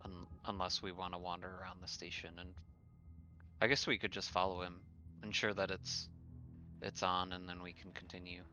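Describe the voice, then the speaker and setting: A man playing a tabletop game, speaking tentatively and haltingly in character, on a dull, lo-fi microphone. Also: low-pitched, level tone, sharp tone. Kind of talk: deliberate in-character game talk.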